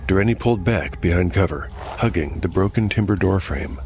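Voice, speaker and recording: A man narrating a dark fantasy tale in a scripted, dramatic style as game master. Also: low voice, animated, brisk, background noise.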